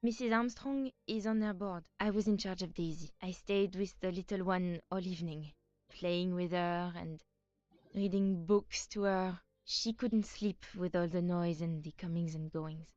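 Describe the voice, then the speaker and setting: A woman's voice: on the low side, fairly even intonation, a little slow, darkish tone, no echo, dull audio, lightly acted. A woman with a French accent playing an earnest, polite nanny in a video game. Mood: polite, subdued. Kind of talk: scripted video game acting.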